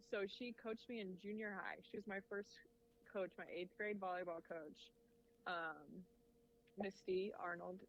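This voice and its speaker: A woman in her thirties, a volleyball coach, talking in a casual interview in a measured, reflective way with easy laughs. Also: on the low side. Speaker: head volleyball coach, podcast guest. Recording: dull lo-fi audio with faint noise.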